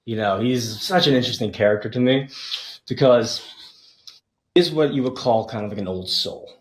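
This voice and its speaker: A man's voice: medium pitch, normal speed. A man, an actor, giving casual interview answers in a roomy, echoing recording.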